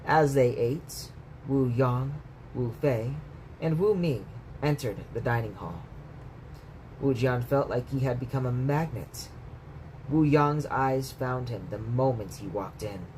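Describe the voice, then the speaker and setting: A man's voice: medium pitch, slow, darkish tone, low-quality recording. Man reading a story over background noise. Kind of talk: bedtime story read-aloud, scripted narration.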